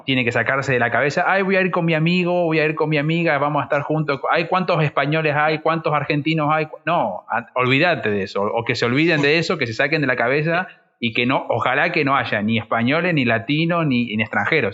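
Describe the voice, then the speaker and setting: Man, Rioplatense accent, sharp forceful tone, emphatic conversational panelist, somewhat lo-fi sound. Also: mid-range voice, fast. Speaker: podcast guest sharing experience of learning Chinese. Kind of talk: casual panel discussion.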